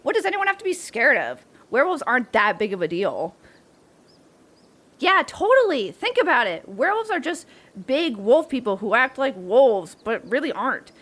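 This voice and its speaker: A playful young woman camper , acted by a woman in an audio drama. Her voice sits fairly high and leaps around with lots of animation. She is bold and excitable, teasing her friends in casual slang. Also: normal speed. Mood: eager, bold. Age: young adult. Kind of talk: roleplay audio drama acting.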